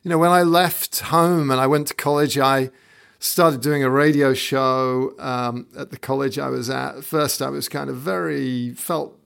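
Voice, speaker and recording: A man raised in England, hosting a podcast interview in a casual, halting way full of fillers and restarts. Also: mid-range voice, fairly even intonation, gravelly, a bit bright, clear, resonant tone. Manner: hesitant, many fillers and restarts. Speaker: podcast host.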